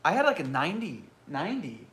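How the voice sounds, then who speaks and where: A man in his thirties with a loud voice, hosting a casual live question-and-answer chat and rambling with self-mocking humor. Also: a little high, wildly swooping pitch, quick pace. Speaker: channel host.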